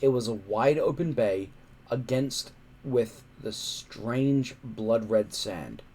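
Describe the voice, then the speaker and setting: A man reading a children's adventure story aloud in a dramatic, suspenseful way, with emphatic pauses. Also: mid-range voice, lively intonation, darkish tone. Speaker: storybook reader.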